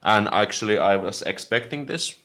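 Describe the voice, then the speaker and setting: Low-voiced man chatting casually. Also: normal speed. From Finland. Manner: relaxed, chatty, leans on "like" as filler. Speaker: language-learning YouTuber. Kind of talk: casual live stream, answering viewer comments.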